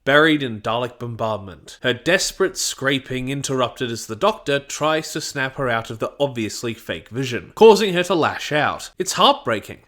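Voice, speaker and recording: A man's voice: medium pitch, lively intonation, normal speed, few pauses, a bit bright. A man narrating a scripted review, with wry and opinionated asides. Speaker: audio drama reviewer.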